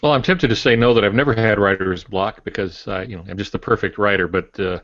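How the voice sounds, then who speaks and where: Older man, lowish voice, wry podcast advice. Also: quick pace, fairly bright tone, no room sound. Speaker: podcast co-host. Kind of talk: casual advice-giving chat. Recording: dull, dry lo-fi audio.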